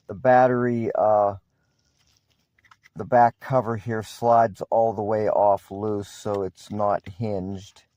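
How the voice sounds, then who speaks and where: A man's voice: lowish voice, dark tone, no echo. A man giving a casual, unscripted product review in a matter-of-fact, plain-spoken way.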